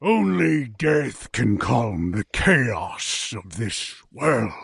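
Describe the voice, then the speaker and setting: A man voicing a grim, solemn bringer of death for a video game, grave and drawn-out. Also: medium pitch, big pitch swings, creaky, heavily acted. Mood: grim resolve. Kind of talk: video game character voice line. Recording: hissy, noisy game audio clip.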